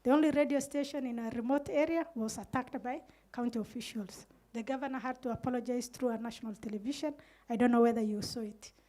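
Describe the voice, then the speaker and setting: A woman's voice: on the high side, fairly even intonation, fast, mellow. A middle-aged woman with a Kenyan Somali accent speaks earnestly on a panel, recounting events with quoted dialogue into a handheld microphone. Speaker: community radio manager on a panel. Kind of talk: panel talk, storytelling. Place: indoor room with curtains.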